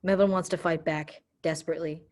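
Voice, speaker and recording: A woman's voice: sits low, steady tone, a little fast, darkish tone. A woman giving scripted narration, reading stage directions for a play reading.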